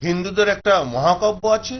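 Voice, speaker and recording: A man's voice: a little fast, background noise, close to an everyday voice. A man voicing an imperious, whimsical Nawab in a cartoon, with a demanding manner. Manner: demanding, peremptory. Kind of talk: Bengali cartoon voice acting. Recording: dull low-fidelity audio with music behind.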